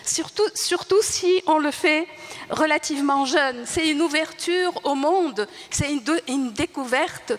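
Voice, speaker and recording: Older Tunisian-born woman, high voice, halting. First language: Arabic. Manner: halting, searching for words, with many restarts. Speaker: writer on a panel. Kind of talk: spoken reflection at a panel talk. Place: large hall. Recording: reverberant hall.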